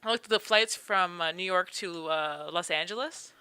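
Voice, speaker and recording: A woman co-hosting a casual beer podcast, chatting in an animated way with frequent restarts. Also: fairly low pitch, normal speed, smooth, dry recording. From Canada. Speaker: podcast co-host. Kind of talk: casual chat.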